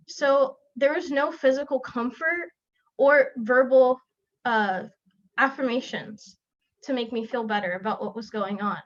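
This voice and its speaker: A young woman from South Florida gives a personal narrative speech over a video call, earnest, pausing and restarting now and then. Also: on the high side, fairly bright tone. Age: young adult. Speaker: student speaker. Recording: lo-fi online call audio.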